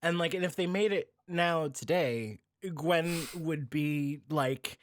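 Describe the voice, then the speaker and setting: A man's voice: on the high side, slow, no room sound, clean studio sound. Wry, joking male podcast host. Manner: sarcastic, hyperbolic banter. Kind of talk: casual joking podcast chat.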